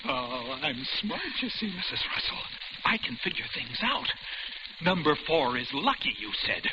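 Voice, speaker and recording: An actor playing a paranoid, deranged cook who gloats and taunts with menacing politeness in an old-time radio suspense drama. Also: male voice, on the high side, very animated, moderate pace. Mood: bitter, taunting. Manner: taunting, repeatedly addressing his victim with mock politeness. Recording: muffled vintage radio recording, loud crackling hiss.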